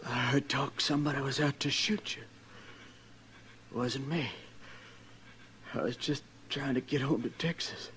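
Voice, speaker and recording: An actor playing a bitter, mortally wounded cowboy, gasping in pain in an old radio drama. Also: male voice, mid-range voice, steady tone, measured pace, pauses often, fairly bright tone, lightly acted. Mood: bitter, weakening. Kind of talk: old-time radio western acting. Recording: slightly noisy old radio recording.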